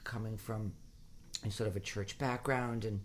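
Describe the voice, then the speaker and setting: A man's voice: fairly low pitch, fairly even intonation, moderate pace, slight background noise. Emphatic man in casual talk. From Rhode Island. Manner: emphatic, repeats phrases while building a point. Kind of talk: casual conversation.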